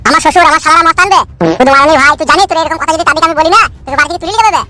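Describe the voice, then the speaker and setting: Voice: sits high, rapid-fire, bright, forceful tone. A performer voicing a brash, foul-mouthed young village man who bickers and taunts in a comedy cartoon. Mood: threatening. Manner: taunting, swearing, slangy rural speech.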